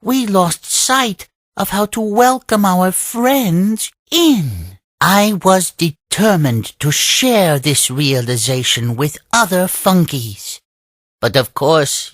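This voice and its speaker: An actor playing a wise old creature leader in a video game, telling a tale in a solemn, deliberate, sage-like way. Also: male voice, fairly high pitch, big pitch swings, fairly bright tone, dry recording, clean studio sound, heavily acted. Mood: earnest, wise. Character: wise old male Oni leader creature, serene storyteller. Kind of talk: scripted storybook narration for a game cutscene.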